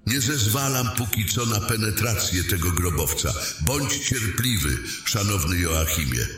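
Fantasy king, male actor: lowish voice, composed, formal authority, heard in a big echoing hall. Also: animated, measured pace, fairly bright tone, faint music behind. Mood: calm, patient. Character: measured, dignified male king presiding over council. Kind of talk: fantasy audio drama acting. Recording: very echoey hall sound.

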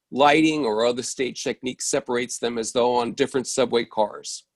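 Man, medium pitch, script reading, stage directions, occasional stumbles, very dry sound. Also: no room sound. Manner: stumbles and corrects himself. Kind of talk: reading a play script aloud.

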